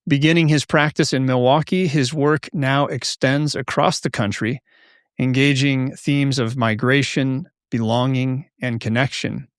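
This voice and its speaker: A man hosting a conversational podcast for pastors, reading a measured introduction and then asking questions. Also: mid-range voice, clean tone, no room sound, studio quality. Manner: measured, warm formal welcome, reads intro. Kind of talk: scripted intro then interview.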